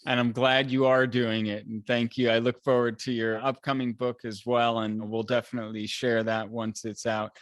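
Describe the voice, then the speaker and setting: A man's voice: mid-range voice, level tone, moderate pace, hardly any pauses, dry recording. A man hosting a podcast, talking casually in an interview. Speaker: podcast host.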